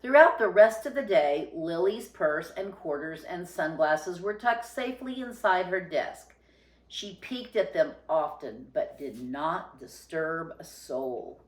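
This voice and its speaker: Slow-reading woman narrator, roomy echo. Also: fairly low pitch, lively intonation. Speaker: storybook reader. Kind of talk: expressive children's story narration.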